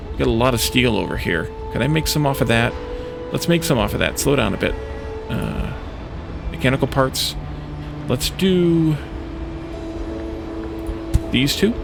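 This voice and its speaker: A man with a creaky, gravelly voice at a middling pitch narrates his own gameplay. He thinks out loud in short, halting phrases, muttering to himself as he decides each step. Music is clearly audible behind him. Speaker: let's play host. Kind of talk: gaming commentary, thinking aloud while playing.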